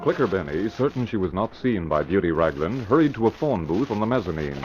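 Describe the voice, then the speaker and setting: A man's voice: deep voice, very animated, a little fast. A man narrating an old-time radio crime drama in scripted style, on a muffled recording with music behind.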